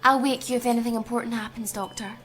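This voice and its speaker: A bossy, protective hospital colleague played by a woman in an audio drama. The voice is high-pitched, firm and scolding, with faint music behind it. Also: steady tone, quick pace, a bit bright, close to an everyday voice. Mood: firm, caring. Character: stern, bossy, protective female medical colleague.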